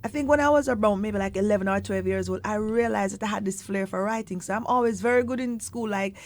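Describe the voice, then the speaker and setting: A Jamaican woman with a mid-range voice talks easily in an interview, drifting in and out of patois. She tells her stories with lively storytelling energy and stretches her words as she goes. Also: medium pitch, fast. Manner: animated storytelling, mixing patois with standard English, stretching words. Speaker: singer and poet, interview guest. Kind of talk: relaxed conversational interview.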